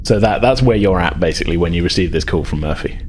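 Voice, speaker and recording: A man's voice: low voice, expressive, fast talker, a little mellow, a little hiss or hum. A man narrating a tabletop role-playing game as game master, with hesitant, tentative phrasing.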